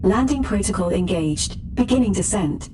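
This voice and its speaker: Woman voicing female starship AI, formal, impersonal, medium pitch, measured recitation, noticeable background noise. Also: lively intonation, mellow, natural voice, poor audio. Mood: impassive. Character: female ship computer assistant, formal, impassive, informative. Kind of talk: scripted sci-fi status report.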